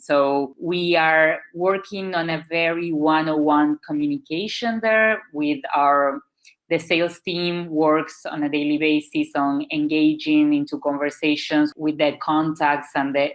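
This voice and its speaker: A woman with a low voice answers interview questions. She pauses often, restarts her sentences and fills the gaps with "uh" and "like". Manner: thoughtful, with frequent fillers and restarts. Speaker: marketing manager, guest.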